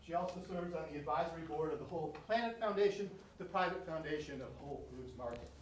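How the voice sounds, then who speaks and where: A man's voice: a little high, quick pace. A man hosting an event, reading out a guest speaker's credentials in an admiring introduction. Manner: admiring, reads a list of credentials. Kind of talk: prepared introduction speech. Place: lecture room. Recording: roomy echo, distant mic, faint room noise.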